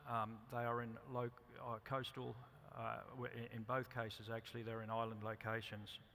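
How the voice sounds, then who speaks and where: An Australian man giving a company presentation at a conference, speaking haltingly with many ums and restarts. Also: mid-range voice, completely flat delivery, gravelly, pushed and bright, a little hiss or hum. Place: conference hall. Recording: reverberant hall.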